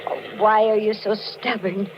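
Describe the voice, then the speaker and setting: An actress playing an anxious, conscientious wife in a 1940s radio drama, earnest and urgently pleading. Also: female voice, medium pitch, little pitch movement, measured pace, close to an everyday voice. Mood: pleading, exasperated. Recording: muffled 1940s radio, noticeable hiss.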